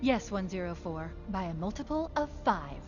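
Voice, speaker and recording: A woman playing a composed, clinical military scientist with a measured, precise, authoritative delivery in an animated sci-fi film. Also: mid-range voice, highs cut off, music in the background, close to an everyday voice. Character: composed, clinical female military scientist briefing her soldiers.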